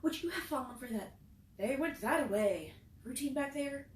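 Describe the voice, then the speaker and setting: A woman voicing a sharp-tongued teenage girl who scolds the boys and takes charge. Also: sits high, animated, normal speed, recorded from a distance, pitched above the performer's own voice. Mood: mocking. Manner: scolding, commanding. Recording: roomy echo, faint noise.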